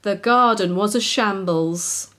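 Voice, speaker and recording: A woman's voice: mid-range voice, slow pace, a bit bright. A woman narrating a children's story expressively as its author.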